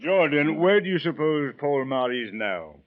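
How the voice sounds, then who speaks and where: A man's voice: fairly high pitch, sing-song, moderate pace. A man playing a courteous, precise and stiffly formal police official in an old radio drama. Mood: measured, probing. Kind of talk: 1940s-50s radio drama acting. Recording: muffled old-time radio recording.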